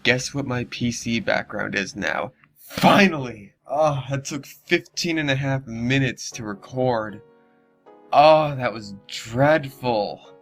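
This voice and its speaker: Guy doing a mock dramatic story reading, sarcastic fed up asides, music playing behind him. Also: medium pitch. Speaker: narrator reading and mocking a horror story. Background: background music under the voice.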